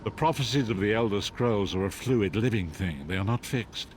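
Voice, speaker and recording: An actor playing a frail, blind old prophet and mystic guide in a fantasy video game, speaking gravely in archaic, oratorical phrases. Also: male voice, on the low side, lively intonation, moderate pace, slight background noise, natural voice. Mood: solemn, reflective. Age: older. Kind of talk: dramatic fantasy game voice acting.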